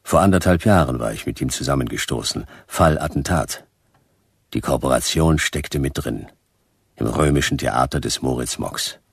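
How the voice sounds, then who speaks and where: An actor playing a laconic, hard-boiled private detective in a radio drama, narrating in terse, dry fragments. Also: male voice, a bass voice, moderate pace, breathy edge, dry recording, full character voice. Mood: terse, watchful.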